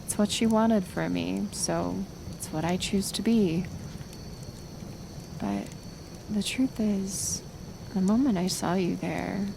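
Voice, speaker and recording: Slow, gentle half-elf healer played by a woman. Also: mid-range voice, creaky, background noise. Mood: solemn, wistful. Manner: soft, hesitant, soothing, with pauses. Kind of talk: intimate ASMR roleplay acting.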